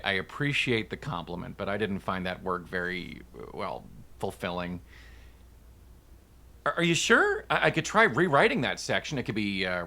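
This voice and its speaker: A woman's voice: a bass voice, bright, forceful tone, exaggerated voice. A woman acting as a nervous parent in a naturalistic drama, hesitant and prone to stammering. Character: nervous, halting parent, a struggling musician called Dad.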